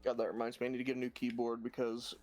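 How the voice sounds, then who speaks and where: Deep-voiced joking man guest. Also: completely flat delivery, rapid-fire, darkish tone, soft background music. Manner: jokey, boastful, laughs easily. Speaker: guest streamer. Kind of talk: casual interview chat.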